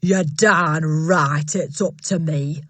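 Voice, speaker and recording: A woman voicing a huge, scornful headmistress who barks and shouts at a teacher. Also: medium pitch, animated, normal speed, no room sound, voice pushed up. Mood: barking scorn. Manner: barking, shouting, contemptuous. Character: bullying, booming female headmistress, huge and scornful. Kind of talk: audiobook character voice.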